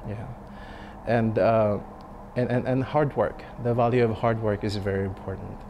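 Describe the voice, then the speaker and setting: A man in his thirties, a restaurant chef, speaking in a sit-down interview, thoughtful and halting with many fillers and restarts. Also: on the low side, fairly even intonation, darkish tone, a little hiss or hum. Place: dim restaurant dining room. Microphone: lapel mic.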